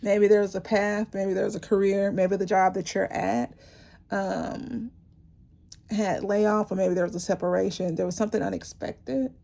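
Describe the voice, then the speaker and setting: A woman giving a rambling, encouraging tarot reading to her viewers, her voice worn and going hoarse, with many fillers and restarts. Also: medium pitch, flat delivery, measured pace, mellow. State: losing her voice, clearing her throat. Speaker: tarot reader. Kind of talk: intuitive tarot reading to camera.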